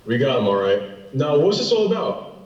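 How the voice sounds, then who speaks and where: A man acts an officious radio-drama detective, firm and gruffly reassuring. His pitch moves a lot, and the sound is dull and echoey. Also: very animated, normal speed, a little mellow, recorded from a distance, close to an everyday voice. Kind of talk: stage radio drama acting. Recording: dull, echoey, off-mic, faint noise.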